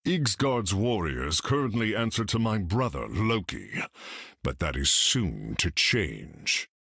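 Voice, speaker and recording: A bombastic warrior monarch for a video game. His speech is slow, theatrical and archaic, gravelly and swinging widely in pitch. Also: sing-song, bright, forceful tone, no room sound, clean studio sound, heavily acted. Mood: hearty, proud. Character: bombastic, hearty, proud warrior god-king.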